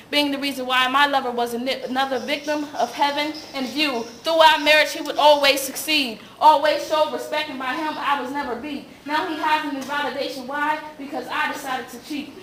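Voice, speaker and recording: A woman delivers slam poetry in a high, bright voice with a sharp edge. Her phrasing is rhythmic and emphatic, built on rhyme. The sound is echoey, with faint noise underneath. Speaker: slam poet. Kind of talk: slam poetry performance, rhythmic rhyming spoken word.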